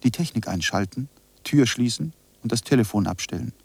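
A man playing a reflective narrator in a scripted radio drama, speaking in measured phrases. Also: mid-range voice, expressive, gravelly, slightly rough, no room sound. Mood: quiet, concentrated. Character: reflective male narrator reciting essays and studio notes.

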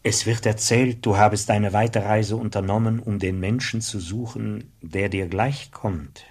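A dignified, flattering bishop in a radio play; low, formal, solemn. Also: male voice, a little slow, slightly rough.

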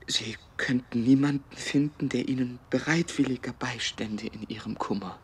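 A man acting a smooth-talking, opportunistic suitor in a German radio drama, earnest and courting, with hesitant moments. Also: medium pitch, normal speed. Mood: ardent, courting.

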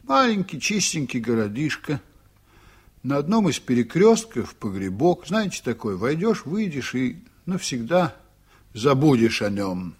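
An actor playing a proud, stubborn, reflective ship's captain in a Soviet radio drama, telling things unhurriedly with dramatic pauses. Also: male voice, medium pitch, sing-song, slightly rough. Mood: reflective reminiscing.

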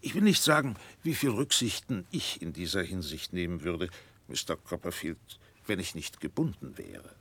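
Husky, pompous Victorian lawyer. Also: male voice, sits low, expressive, fairly bright tone, full character voice. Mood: self-righteous, unyielding. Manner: formal, pompous, hesitant, drawn-out phrasing. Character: stiff, courteous, evasive lawyer in Victorian London. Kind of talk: 1960s German radio drama acting.

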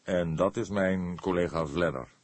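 A man voicing a calm, slyly polite old police detective in an audiobook, measured and solemn in manner. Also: low voice. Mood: matter-of-fact. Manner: measured, solemn, feigned innocence, hesitant pauses.

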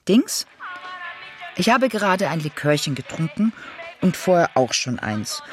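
An actress playing a tipsy woman who recounts her evening alone in a radio drama monologue. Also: on the low side.

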